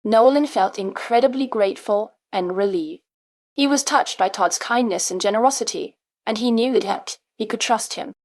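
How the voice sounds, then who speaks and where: A woman reading scripted comprehension narration for English learners in a measured, articulate manner. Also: a little high, darkish tone, no echo. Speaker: question-and-answer presenter for English learners. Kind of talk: scripted question-and-answer narration.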